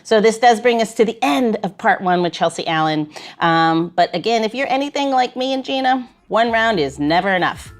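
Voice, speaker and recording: A woman's voice: mid-range voice, expressive, normal speed, hardly any pauses. A woman co-hosting a podcast in casual chat, rambling with frequent restarts and fillers. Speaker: podcast co-host.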